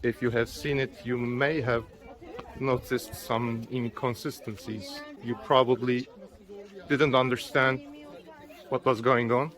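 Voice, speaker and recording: Turkish man, travel vlogger, casual and rambling, mid-pitched voice, outdoors on an onboard mic. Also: steady tone, takes it slowly, fairly bright tone. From Turkey. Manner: chatty, with fillers, loose phrasing. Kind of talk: casual travel vlog narration. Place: outdoors at an open-air museum. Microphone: built-in camera mic.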